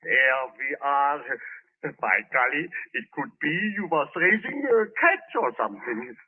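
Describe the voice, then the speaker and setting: A man's voice: medium pitch, big pitch swings, measured pace, breathy edge. A man playing a talkative, good-natured butcher with a German accent and folksy broken English, in 1930s radio drama style. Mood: puzzled, jovial. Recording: tinny vintage 1930s radio recording.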